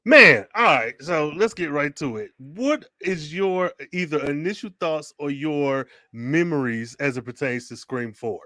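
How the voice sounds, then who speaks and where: A man's voice: fairly high pitch, expressive, measured pace, hardly any pauses, sharp tone. A man hosting a casual podcast chat, laid-back, laughing often and swearing casually. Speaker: podcast host.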